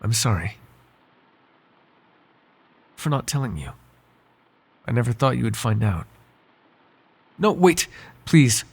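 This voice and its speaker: A man playing a devoted, flirtatious butler with a secret in an intimate ASMR roleplay, speaking softly in polite, formal phrases with halting hesitations. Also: mid-range voice, big pitch swings, creaky, slightly rough, exaggerated voice. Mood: apologetic, earnest. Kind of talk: ASMR roleplay voice acting, intimate one-sided dialogue.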